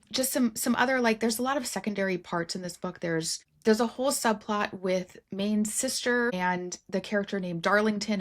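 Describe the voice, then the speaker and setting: A woman hosting a podcast in casual book discussion, frank and joking, peppering her talk with "like" and slipping into imagined dialogue. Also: mid-range voice. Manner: animated quoting and role-playing of imagined dialogue, frank and joking; uses "like" frequently and swears casually. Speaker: podcast host.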